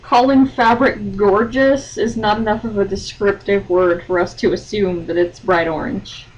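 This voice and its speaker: A woman's voice: medium pitch, fairly even intonation, normal speed, highs cut off. A woman podcast host making casual, sarcastic comic comments. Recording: distant mic, faint noise.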